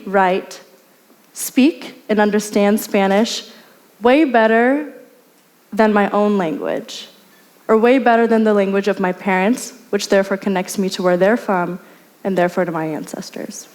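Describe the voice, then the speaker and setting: A young woman speaks in a middle-pitched voice and tells her story in an earnest, measured way. She sounds like a student at a university talk series. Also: darkish tone. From United States (Portland). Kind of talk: personal talk.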